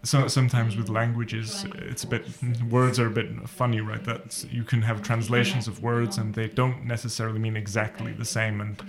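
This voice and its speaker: Guy on a panel, gravelly flat voice, lots of uhs. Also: fairly low pitch, moderate pace, few pauses, crowd noise. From Wales. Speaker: panel guest. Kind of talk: panel discussion.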